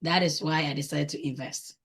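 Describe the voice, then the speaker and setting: A woman with a Ghanaian accent and a husky voice, talking conversationally as an interview guest and often checking in with little tag questions. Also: low-pitched, fairly even intonation, fairly quick, fairly bright tone. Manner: frequently tags phrases with "right?". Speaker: guest, coaching client and business owner.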